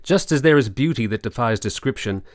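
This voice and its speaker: A male actor as a learned army doctor in a radio play, recorded close and dry. His medium-pitched voice moves in lively swings, with courteous, formal and theatrical phrasing. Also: a little fast, no room sound, lightly acted. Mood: grave warning. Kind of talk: period radio drama acting.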